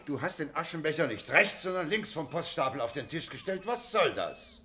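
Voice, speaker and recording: An actor playing a suspicious, controlling husband who questions sharply in a radio play. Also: male voice, on the high side, big pitch swings, moderate pace, very noisy. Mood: accusing. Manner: interrogating, sharp-tongued. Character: suspicious controlling jealous husband, quick to anger.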